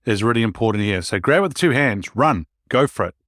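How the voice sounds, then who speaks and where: A man's voice: medium pitch, expressive, fairly quick, a bit bright, no room sound, clean studio sound. A man with an Australian accent hosting a leadership podcast, upbeat, often restating his guest's points. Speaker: podcast host. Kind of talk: interview host chat.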